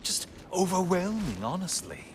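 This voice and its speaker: A man's voice: high-pitched, sing-song, normal speed, background noise. A man playing a mocking, chatty tormentor in a video game, needling with breezy, conversational sarcasm.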